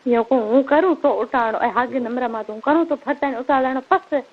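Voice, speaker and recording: A woman's voice: high-pitched, fairly quick. A woman with a Marwari Rajasthani accent chatting casually and intimately over the phone. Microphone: phone line. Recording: tinny, narrow-band phone call recording.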